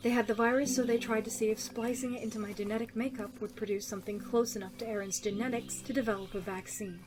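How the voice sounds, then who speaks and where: A woman playing a guarded, sickly young survivor of cruel experiments in an audio drama, speaking in a wry, measured, confiding way. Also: on the high side, steady tone, music in the background, natural voice.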